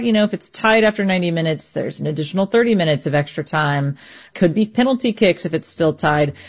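A woman's voice: mid-range voice, a little mellow, muffled recording. A woman sports correspondent explaining a match in a conversational way during a radio news interview.

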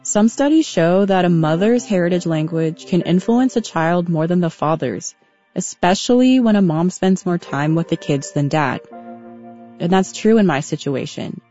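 A woman in her twenties narrating her own story in a reflective, measured, scripted way. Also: low-pitched, mellow. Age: 20s. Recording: dull, muffled audio.

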